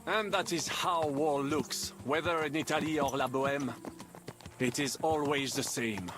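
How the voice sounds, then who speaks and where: An actor as a high-voiced French soldier of fortune. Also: male voice, measured pace, natural voice. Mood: matter-of-fact. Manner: lecturing, matter-of-fact, peppered with French phrases. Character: worldly, pragmatic French soldier of fortune, medieval. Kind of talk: video game voice acting. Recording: loud game music behind.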